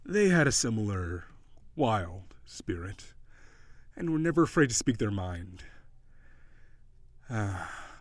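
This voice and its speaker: Casting: male actor for haughty sea-beast prince in audio roleplay. Low, unhurried, formal archaic speech, prissy and world-weary. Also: sing-song, no room sound, heavily acted. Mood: wistful.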